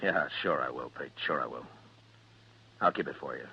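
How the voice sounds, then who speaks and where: An American actor playing a stern, world-weary US marshal in an old radio western. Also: male voice, very deep voice, moderate pace, vocal fry, a little hiss or hum. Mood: firm, fatherly. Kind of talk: 1950s radio western acting and narration. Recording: dull old radio recording, music behind.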